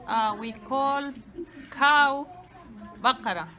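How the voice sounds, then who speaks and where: Very slow, halting Sudanese woman. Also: high voice, bright, forceful tone. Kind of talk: short self-introduction. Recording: crowd chatter behind.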